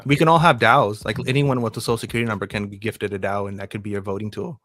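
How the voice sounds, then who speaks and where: A man's voice: lowish voice, steady tone, quick pace, a bit bright, dry recording, soft background music. A man co-hosting a casual live stream chat, talking in an earnest, emphatic way with some swearing. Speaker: co-host.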